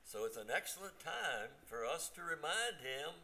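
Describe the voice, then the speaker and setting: An older man playing a scheming, hopeful nephew in a staged reading, halting and deliberate. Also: a little high, big pitch swings, bright, forceful tone, full character voice. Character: scheming, hopeful nephew John, gossiping about uncle.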